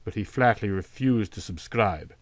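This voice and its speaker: A man's voice: on the low side, normal speed, dry recording. A man reading a literary short story aloud as an audiobook narrator.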